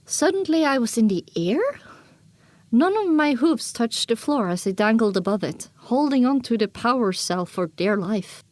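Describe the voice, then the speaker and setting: A woman narrating a first-person story as an audiobook, expressive and dramatic, on a close microphone. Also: on the high side, normal speed, no echo.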